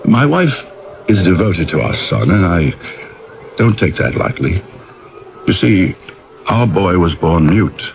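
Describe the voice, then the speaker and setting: Jovial, commanding literary husband, swooping theatrical delivery in a 1970s radio play, muffled old broadcast sound. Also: male voice, sits low, sing-song, takes it slowly, vocal fry, pauses often, slight background noise, heavily acted. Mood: earnest. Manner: urbane, wordy, theatrical. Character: jovial, domineering husband and father, a famous writer. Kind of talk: 1970s radio drama acting.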